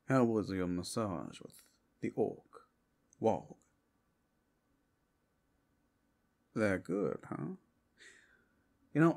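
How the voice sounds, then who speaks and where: Flirtatious male werewolf, ASMR roleplay, male actor. Teasing, gravelly, swooping in pitch. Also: darkish tone, heavily acted. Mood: flirtatious, wistful.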